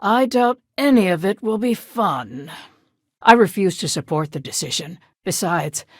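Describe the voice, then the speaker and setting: Curt, weary corporate executive, dry recording. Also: female voice, mid-range voice, animated, a little slow, no echo. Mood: firm, businesslike. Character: stern, weary corporate legal executive woman, mother of a grown son. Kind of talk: video game dialogue voice acting.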